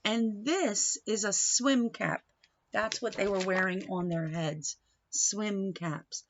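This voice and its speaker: A woman reading a picture book to young children like a teacher, pausing to explain and put questions to her listeners. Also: medium pitch, lo-fi sound, slight background noise. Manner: instructive, questioning, addresses young listeners directly.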